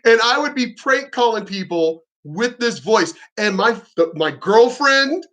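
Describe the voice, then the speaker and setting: A man with a high, bright voice rants in a combative, mocking way, swearing freely. He sounds pointed and sharp as he tears into what he is reacting to. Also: animated, a little slow. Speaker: reaction commentator. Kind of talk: ranting reaction commentary.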